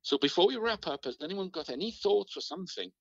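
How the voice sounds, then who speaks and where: A man acts an earnest chair of a community meeting in a radio play. His voice is fairly high and lively, polite and a little hesitant. The phone recording is dull and dry. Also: quick pace, no room sound. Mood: polite, brisk. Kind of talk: amateur radio play, video-call meeting.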